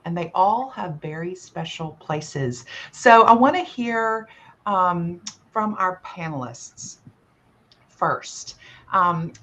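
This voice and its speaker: Measured woman hosting a video panel. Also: on the low side, a bit bright. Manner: friendly, measured, with ums. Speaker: host and coach. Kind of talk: live online panel hosting. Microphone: video call.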